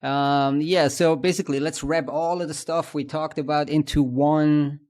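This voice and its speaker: A man's voice: on the high side, flat delivery, moderate pace, darkish tone. A man with a Swiss German accent, co-hosting a podcast and thinking aloud in casual industry chat, with many fillers and restarts. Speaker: podcast co-host.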